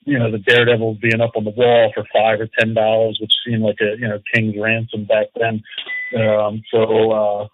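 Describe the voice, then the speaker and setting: A man's voice: lowish voice, completely flat delivery, normal speed, no echo. A man in his early forties from Atlantic City, a guest answering questions in rambling, friendly talk full of fillers. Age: 40s. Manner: rambling, lots of ums and you-knows, friendly. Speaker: comics writer and editor, guest. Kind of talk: casual interview. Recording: dry, tinny phone-like line.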